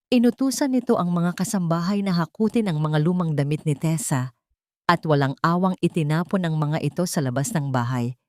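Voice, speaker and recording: A woman's voice: low voice, a little mellow, dry recording, clean studio sound. A woman storyteller giving a solemn, emotive scripted narration of a dramatic love story.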